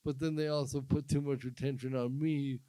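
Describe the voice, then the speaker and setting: A man's voice: medium pitch, little pitch movement, warm tone, clear, resonant tone, no room sound. Joking man in dry studio. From Minnesota. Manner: joking, self-mocking, halting. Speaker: comedian and podcast host. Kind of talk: casual podcast banter.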